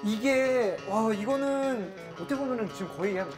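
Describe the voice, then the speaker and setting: A man's voice: sits high, moderate pace, music in the background. A man presenting a car show and interviewing a guest in a chatty, enthusiastic, admiring way. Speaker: host interviewing an engineer.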